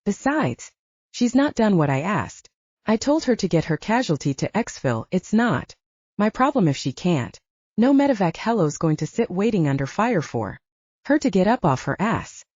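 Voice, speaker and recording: A woman's voice: medium pitch, very animated, moderate pace, a little mellow, dry recording, lo-fi sound. A woman voicing a hard, unyielding male werewolf leader in an audiobook, stern and cutting in manner. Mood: cold, dismissive. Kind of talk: audiobook character dialogue.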